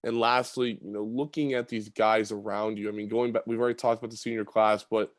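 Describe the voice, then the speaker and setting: A man hosting a high school basketball podcast, asking long questions padded with fillers. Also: little pitch movement, fairly quick, dry recording, clean studio sound. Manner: chatty, filler-heavy questions. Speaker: podcast host. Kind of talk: sports interview podcast.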